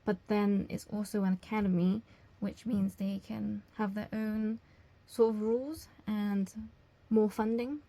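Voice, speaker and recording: A young woman answering job interview questions as a language teacher, explaining things in a measured way. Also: medium pitch, fairly even intonation, dark tone, rough recording. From England.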